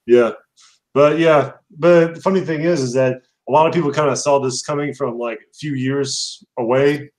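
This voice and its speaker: A man's voice: mid-range voice, fairly even intonation, normal speed, a little mellow. Rambling young man hosting a chat. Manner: rambling, filler-heavy, laughs often. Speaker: host. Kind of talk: casual chat.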